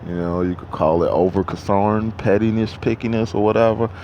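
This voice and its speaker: A man's voice: on the low side, fairly even intonation, a little fast, warm tone, highs cut off, slight background noise. A truck driver in his thirties talking casually to camera at a truck stop, rambling and profane, with many restarts. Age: 30s.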